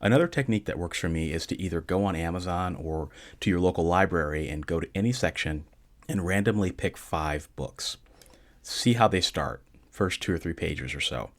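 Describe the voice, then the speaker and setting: Calm deep-voiced man giving advice. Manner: calm, measured advice with dry deadpan humor. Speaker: podcast host answering listener questions. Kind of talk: casual solo podcast talk.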